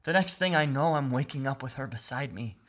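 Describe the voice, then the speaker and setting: A man's voice: mid-range voice, lively intonation, brisk, fairly bright tone. A man plays an anguished young man in an audio drama, racked with guilt and fear. His youthful voice pleads and stammers, overwrought as he speaks to his best friend. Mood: guilty confession. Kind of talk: emotional audio drama acting.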